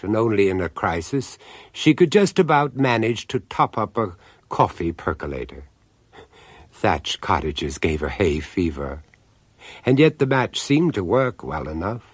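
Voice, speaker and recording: A man narrating a radio horror drama as an urbane storyteller, deliberate and theatrical, pausing to savour each phrase. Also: lowish voice, no room sound. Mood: wry, urbane.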